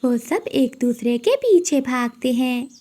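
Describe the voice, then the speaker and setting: High, mellow woman storyteller. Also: big pitch swings, takes it slowly. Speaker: narrator. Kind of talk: scripted children's story narration.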